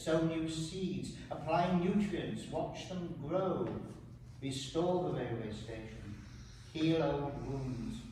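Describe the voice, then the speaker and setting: Older man reading poetry in an echoey hall. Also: medium pitch. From Wales. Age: 70s. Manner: measured, deliberate reading with quiet asides. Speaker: poet reading his own work. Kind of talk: poetry reading to a small audience. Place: gallery room. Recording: echoey hall, distant mic, background noise.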